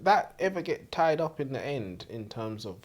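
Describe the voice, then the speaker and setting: Lively, irreverent man. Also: medium pitch, normal speed. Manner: questioning, crude joking banter. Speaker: co-host of a book review show. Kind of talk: casual, irreverent book discussion. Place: warm room, seated in comfy chairs.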